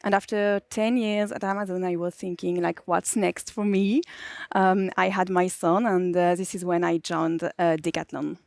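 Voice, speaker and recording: A woman's voice: on the low side, steady tone, moderate pace, vocal fry, a little mellow, dry recording, studio quality. A woman, speaking plainly on a conference panel. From France. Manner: plain, matter-of-fact, some fillers. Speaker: panelist, delivery manager. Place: conference stage.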